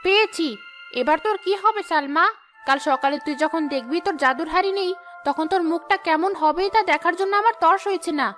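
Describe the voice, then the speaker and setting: A woman's voice: very high voice, normal speed, fairly bright tone, soft background music. A woman voicing a spiteful, jealous, scheming young sister-in-law in a cartoon fairy tale, taunting and accusing. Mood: gloating. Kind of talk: animated fairy-tale voice acting.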